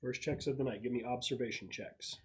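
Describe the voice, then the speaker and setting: A man's voice: medium pitch, fairly quick, a little mellow. A man running a tabletop roleplaying game as game master, describing scenes casually and piecing them together aloud.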